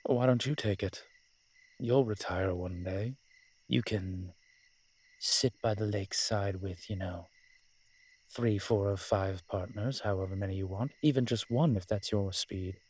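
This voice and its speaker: A man playing a wry, flirtatious immortal incubus demon in a roleplay audio drama, with a husky voice and a dry, teasing, conversational manner. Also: fairly low pitch, measured pace, a little mellow. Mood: musing, teasing.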